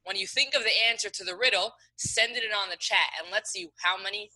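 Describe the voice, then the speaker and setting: Bright-voiced woman hosting a riddle game. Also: mid-range voice, fast talker, low-quality recording. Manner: upbeat, friendly instructions. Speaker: game host for an online Hebrew school. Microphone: video call.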